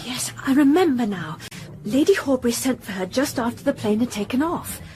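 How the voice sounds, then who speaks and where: A woman's voice: a little high, lively intonation, fairly quick, darkish tone, close to an everyday voice. An actress playing an earnest young woman who helps a detective in a radio drama. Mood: helpful.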